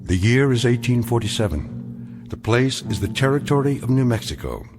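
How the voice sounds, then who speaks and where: A man narrating a radio drama in a measured, solemn storytelling manner. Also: mid-range voice, animated, close to an everyday voice. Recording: music beneath the voice.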